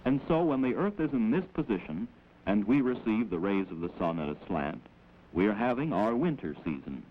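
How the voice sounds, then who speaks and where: A man with an American accent gives measured, instructive scripted narration in the manner of a 1940s educational film, on an old film soundtrack. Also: fairly low pitch, very animated. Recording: dull, noisy old 16mm film soundtrack.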